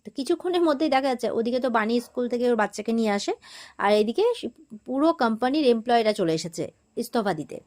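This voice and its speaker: A woman's voice: a little high, expressive, fast, a little mellow. A woman with a West Bengal accent giving a casual, chatty spoken recap of a TV serial, slipping into quoted dialogue as she tells it. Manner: chatty, informal storytelling with quoted exchanges. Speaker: host of a TV serial recap channel.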